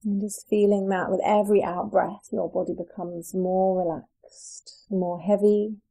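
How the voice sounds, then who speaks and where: A woman with a dark, relaxed, mellow voice leads a guided relaxation. She sounds like a yoga teacher, soothing and gentle, leaving unhurried pauses between phrases. Her pitch sits in an ordinary middle range for a woman. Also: vocal fry, poor audio.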